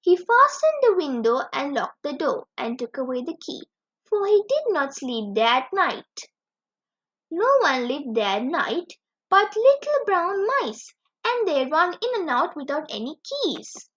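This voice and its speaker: A woman reading a children's story aloud as a storyteller, with expressive, animated delivery. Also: high-pitched, unhurried. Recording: dull lo-fi recording.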